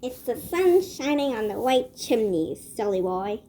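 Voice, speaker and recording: A woman's voice: fairly high pitch, sing-song, a little slow, dark tone, a little hiss or hum. A woman voicing a cold, scolding, selfish stepmother in a fairy tale, bossy and scornful.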